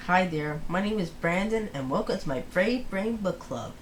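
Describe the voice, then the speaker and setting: A person reading a story aloud for children as host, with a deliberate, halting delivery full of pauses. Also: medium pitch, animated.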